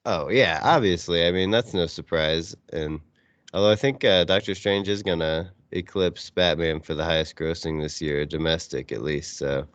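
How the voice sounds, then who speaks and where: A man's voice: low voice, steady tone, moderate pace, fairly bright tone. A man co-hosting a podcast in casual banter, wisecracking and informal, recorded with a roomy, slightly dull sound. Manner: wisecracking, informal, salty language. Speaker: podcast co-host.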